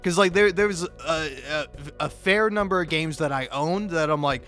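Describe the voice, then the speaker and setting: A man giving casual, rambling game commentary in a halting, jokey way, often repeating words and restarting, swearing freely and putting himself down. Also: a little high, fairly bright tone. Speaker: video game critic and YouTuber. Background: music.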